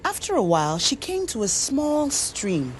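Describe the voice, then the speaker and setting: A woman with a Nigerian accent narrating a scripted folktale in an expressive, dramatic storyteller's manner. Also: a little high, measured pace, slight background noise. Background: music.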